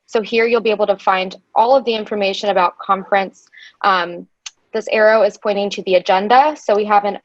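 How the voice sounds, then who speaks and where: A woman's voice: mid-range voice, no room sound. A woman presenting a webinar over slides, talking chattily with frequent ums into a computer mic. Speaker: conference organizer and webinar presenter. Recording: dull, dry lo-fi call audio.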